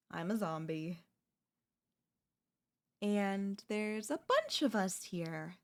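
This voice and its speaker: A woman's voice: on the high side, full character voice. A woman voicing a chatty, falsely friendly female zombie in a roleplay audio, coaxing the listener in a wheedling, conversational way with hesitant slips. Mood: amused, candid.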